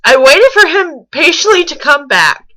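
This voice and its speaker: A woman's voice: high-pitched, sing-song, normal speed, sharp tone, poor audio. A woman reading a story aloud, stumbling and rereading lines as she goes and dropping in mocking asides. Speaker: reader on a fan fiction reaction show.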